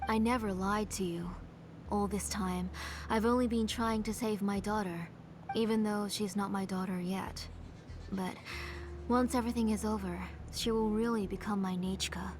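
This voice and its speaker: A woman voicing a middle-aged, grieving, obsessive mother in a video game, in a soft, hushed and fervent manner. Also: medium pitch, fairly even intonation, moderate pace, noisy background, lightly acted.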